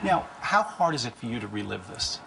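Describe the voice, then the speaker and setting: A man's voice: medium pitch, very animated, a little fast, gravelly, a crowd in the background, low-quality recording. An American man with a mid-range voice hosts a morning TV show. He speaks in an enthusiastic, emphatic broadcast interview style from a studio.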